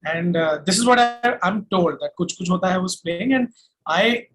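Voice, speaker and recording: High-pitched young Indian man. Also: moderate pace, over loud music. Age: young adult. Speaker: singer-songwriter guest. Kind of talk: casual interview conversation.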